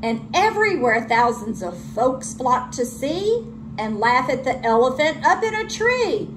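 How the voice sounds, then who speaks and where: A woman teacher reading a children's storybook aloud with expressive delivery. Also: high voice, measured pace, background noise.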